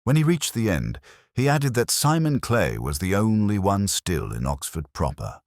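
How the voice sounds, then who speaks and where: A man narrating a scripted first-person detective story in a measured, reflective, literary manner. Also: fairly low pitch, very animated, vocal fry, darkish tone, dry recording, studio quality.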